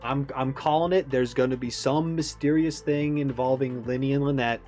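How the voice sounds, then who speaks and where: A man giving a casual, animated reaction commentary, thinking aloud as he analyzes like a game fan. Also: mid-range voice, normal speed, soft background music.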